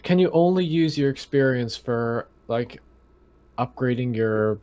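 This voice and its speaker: A man's voice: mid-range voice, animated, unhurried, mellow. A man chatting casually as a player in a tabletop game, tentative and often trailing off.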